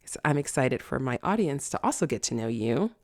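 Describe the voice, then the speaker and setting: A woman's voice: very deep voice, big pitch swings, brisk. An American woman from New York City hosting a casual interview in an effusive, encouraging way. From New York City, USA. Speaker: podcast host interviewing a guest.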